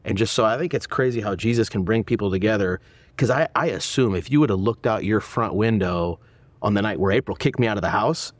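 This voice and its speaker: A man's voice: fairly low pitch, a little fast, no echo. A man in his forties, a pastor hosting an interview podcast, talking earnestly with many restarts in a church auditorium. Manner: earnest, stumbling restarts, warm affirmations. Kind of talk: casual conversational interview. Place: dark church auditorium, indoors.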